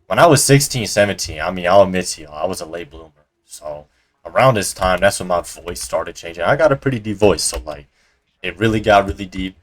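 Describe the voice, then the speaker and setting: A young man from Colorado with a slightly low voice tells a story straight to camera in a loose, profane, slangy way. He sounds like a home vlogger talking into a cheap desk mic propped up on a jar. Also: animated, a little fast. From Colorado, USA. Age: young adult. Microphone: cheap desk mic resting on a coin jar.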